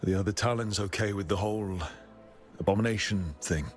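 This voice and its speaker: An actor playing a calm, curious, gently teasing adventurer leader in a video game, with a conversational, questioning manner. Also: male voice, on the low side, a little fast, faint music behind, natural voice.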